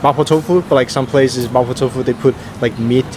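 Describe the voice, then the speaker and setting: A man's voice: medium pitch, fairly even intonation, fairly quick. A man hosting street interviews, asking short, direct questions of travelers. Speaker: host. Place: busy city street outdoors. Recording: street noise behind.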